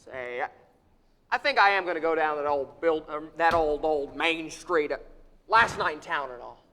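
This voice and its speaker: A man's voice: on the high side, expressive, normal speed, sharp tone. Young man as a stammering, restless young dreamer. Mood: eager. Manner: stammering, eager, nervous restarts. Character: restless young man eager to leave town. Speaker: stage actor in a live radio play. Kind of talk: 1940s radio play acting, live on stage. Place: theater stage, live audience. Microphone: standing stage mic. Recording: long hall reverb of a theater.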